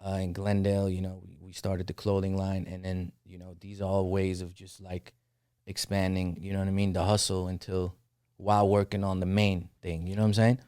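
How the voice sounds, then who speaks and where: A man's voice: low voice, monotone, brisk, mellow, smooth, dry recording, studio quality. A young man from the Netherlands, a rapper talking casually as a podcast guest, loose and peppered with "you know what I'm saying.". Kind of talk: casual interview conversation.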